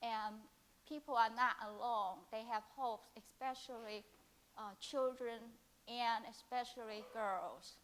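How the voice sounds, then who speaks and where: A woman from China with a Chinese accent, speaking earnestly from a conference audience in halting phrases with many pauses. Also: on the high side, fairly even intonation, fairly bright tone, rough recording. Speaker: audience member, volunteer ambassador.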